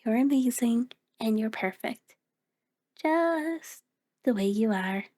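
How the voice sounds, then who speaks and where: A woman voicing a kind, playful mirror reflection in an ASMR roleplay, speaking gently and encouragingly with hesitant pauses. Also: on the high side, animated. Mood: tender, reassuring. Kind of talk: ASMR roleplay, addressing the listener.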